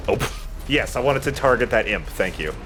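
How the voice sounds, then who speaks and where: A man's voice: mid-range voice, expressive, fairly quick, background noise. A man giving casual gameplay commentary as he plays, talking through it in offhand asides. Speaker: let's player playing the game. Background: video game music.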